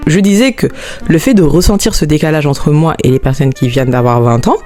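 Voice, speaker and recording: A woman's voice: a little fast, faint music behind. Chatty young woman vlogging. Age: 20s. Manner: chatty, expressive, swoops up high when excited. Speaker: YouTuber. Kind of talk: casual vlog talk to camera.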